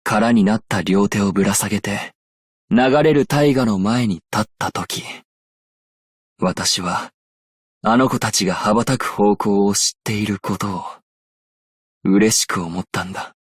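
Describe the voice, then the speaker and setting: A man playing a composed, shrewd and dignified desert merchant in a Japanese game, speaking in a measured, formal way. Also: fairly low pitch, slightly rough, no echo, studio quality. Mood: wistful, reflective. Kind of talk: Japanese game character voice lines.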